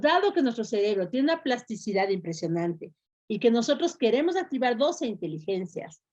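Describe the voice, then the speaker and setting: Older woman, fairly high voice, steady explanatory tone. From Quito, Ecuador. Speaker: educator and school founder. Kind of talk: interview answers. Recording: muffled lo-fi recording.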